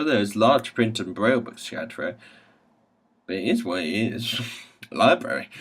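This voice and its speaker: A man hosting a gaming video, reading visual novel text aloud with casual asides and halting stumbles over the words. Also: medium pitch, breathy edge. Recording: music playing behind the voice.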